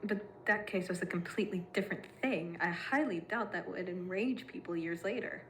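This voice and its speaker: A woman's voice: fairly low pitch, moderate pace, fairly bright tone, slight background noise, rough recording. An actress playing a doubtful, questioning female colleague in a scripted horror drama. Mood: skeptical.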